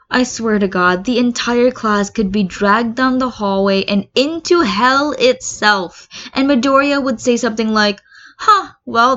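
Female audiobook-style reader for comedic fan fiction, medium pitch, expressive with quotes, dull lo-fi sound. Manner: acts out comments and quotes. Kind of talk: scripted narration of a comedic story.

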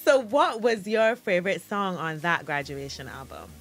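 A woman hosting a radio show, interviewing a guest in a bubbly, teasing way with quick affirmations. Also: fairly low pitch, very animated, fairly bright tone. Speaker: radio host.

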